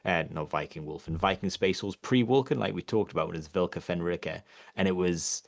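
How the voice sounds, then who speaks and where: A man talks in a casual podcast chat, his voice sitting very low. He rambles, trails off and restarts, full of fillers. The sound is dry and close, with no room echo. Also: fairly quick, creaky. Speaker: podcast co-host.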